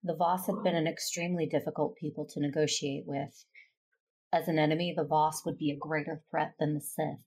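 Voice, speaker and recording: Female actor low gravelly voice, composed, diplomatic, wary space knight, first-person drama narration. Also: level tone, brisk, darkish tone, low-quality recording. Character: composed, diplomatic woman Jedi master, wary.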